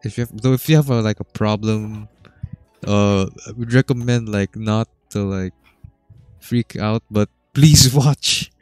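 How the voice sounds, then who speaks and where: A young man with a fairly low voice chats on a podcast, joking and hesitant, full of fillers. The sound is dry and close, with faint music behind. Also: measured pace, clear, resonant tone, no room sound. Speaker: podcast co-host. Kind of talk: casual chat.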